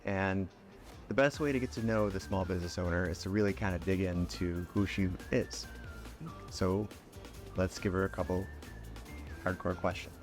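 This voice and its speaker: A man's voice: deep voice, fairly even intonation, normal speed, long pauses, soft background music. A man from a local chamber of commerce interviewing a business owner in a joking, rambling way, often stumbling over his words. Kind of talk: casual interview.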